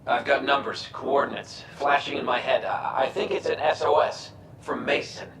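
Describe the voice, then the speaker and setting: An actor playing a gruff, intense and driven male alien soldier in a video game. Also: mid-range voice, steady tone, moderate pace, over a noisy crowd, close to an everyday voice. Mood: urgent. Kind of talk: video game cutscene acting.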